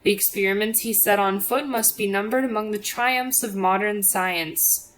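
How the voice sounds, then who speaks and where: A woman's voice: mid-range voice, moderate pace, fairly bright tone, slight background noise, poor audio. A woman reading a religious apologetics audiobook as scripted narration, in a formal, expository manner. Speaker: audiobook reader.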